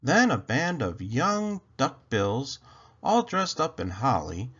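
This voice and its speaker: Male storyteller, theatrical rhyming read-aloud, very lively pitch swings, unhurried, slightly muffled lo-fi recording. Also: husky. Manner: theatrical, drawn-out phrasing for children. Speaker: storyteller reading a children's picture book aloud.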